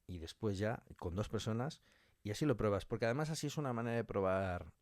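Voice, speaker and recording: Low-voiced man chatting, close and dry. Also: animated, quick pace, darkish tone, no room sound. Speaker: audio fiction writer and director, interview guest. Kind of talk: casual interview conversation.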